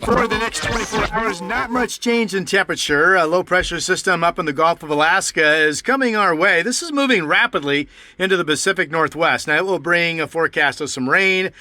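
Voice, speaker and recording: High, bright male radio announcer. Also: fairly quick, few pauses, slight background noise. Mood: businesslike. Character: brisk, businesslike male radio weather announcer. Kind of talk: scripted old-time radio announcing.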